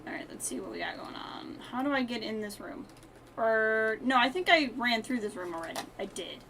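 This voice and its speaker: Female streamer, slightly high pitch, casual self-directed play-by-play in short playful asides, noisy recording with typing. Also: animated, brisk, fairly bright tone. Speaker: live streamer. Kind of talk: casual gameplay commentary.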